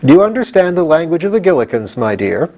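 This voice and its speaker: A man's voice: on the high side, big pitch swings, fast, a little mellow, pitched above the performer's own voice. A man voicing a pompous, fussy living scarecrow king in a storybook, with a grand, questioning manner. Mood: courteous, grand. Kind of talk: storybook character reading.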